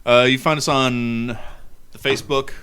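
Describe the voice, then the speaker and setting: A man's voice: medium pitch, steady tone, normal speed, pushed and bright, clean tone. A man who is a podcast guest, chatting in a laid-back way and laughing mid-sentence. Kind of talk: casual chat.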